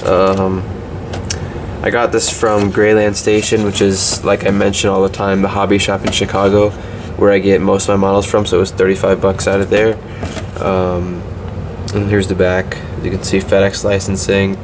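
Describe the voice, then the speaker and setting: A man's voice: on the low side, background noise. A man with a slight cold reviewing a model airplane in a casual, hesitant way, with many ums and restarts.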